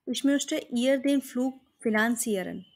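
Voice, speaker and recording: A woman with an Indian accent voicing a polite, hopeful young Indian woman looking for a job, in slow, carefully pronounced scripted dialogue for learners. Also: on the high side, a little mellow. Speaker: German teacher.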